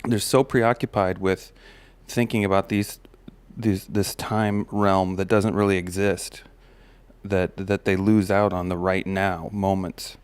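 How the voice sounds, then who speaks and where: Deep, flat-voiced man musing aloud. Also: unhurried, no echo. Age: adult parent of a daughter. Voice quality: slight gravel in the voice. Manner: relaxed, musing, many fillers and restarts. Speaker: podcast host. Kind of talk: reflective personal monologue.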